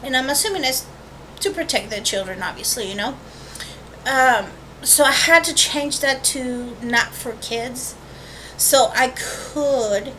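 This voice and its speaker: A woman with a notably high voice chats informally as the host of an art vlog. She rambles through asides and corrects herself as she goes. The sound comes from a webcam microphone, with faint noise underneath. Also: pushed and bright, low-quality recording. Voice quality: high-pitched voice. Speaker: host of a watercolor painting channel. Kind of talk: casual, chatty vlog intro to an art tutorial. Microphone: built-in webcam microphone.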